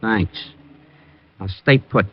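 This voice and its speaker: A man's voice: on the low side, drawn-out words, husky, exaggerated voice. An actor playing a threatening, dryly sarcastic gunman in an old-time radio crime drama. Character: menacing, terse male gunman criminal. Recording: muffled, noisy old radio recording.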